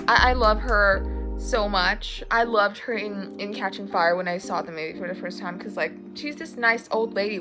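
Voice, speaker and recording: Dramatic woman vlogger, light thai accent, rambly and excited, soft music behind. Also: mid-range voice. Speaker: book vlogger. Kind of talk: casual reading reaction vlog. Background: faint background music.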